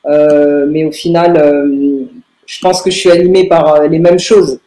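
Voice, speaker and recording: A young woman, answering in a podcast interview with halting phrasing and very frequent filled pauses. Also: deep voice, fairly even intonation, dark tone. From Paris, France. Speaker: lawyer, interview guest. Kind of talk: podcast interview answers about own career. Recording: roomy, echoing room sound.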